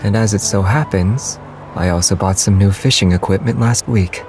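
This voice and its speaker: An actor playing a gentle, quietly confident young man with understated, dry teasing in a romance game. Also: sits low, moderate pace, music in the background, lightly acted.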